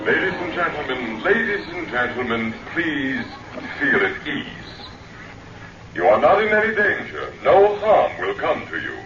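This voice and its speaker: An actor playing a dignified, elderly-looking alien lecturer in human guise in a radio drama, formal, deliberate and oratorical. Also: male voice, fairly high pitch, sing-song, muffled recording. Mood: soothing, formal. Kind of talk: old radio drama speech. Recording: echoey, distant, noisy vintage radio recording.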